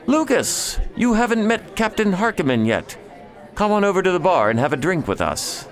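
A man's voice: high voice, sing-song, moderate pace. A man playing a sociable, enthusiastic noble cousin in a radio drama, breezy and persuasive. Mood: hearty. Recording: faint crowd chatter behind.

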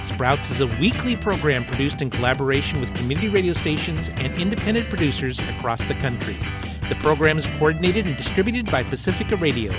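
A man with an American accent reading scripted closing credits as a radio program announcer. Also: fairly high pitch. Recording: loud plucked-string music behind voice.

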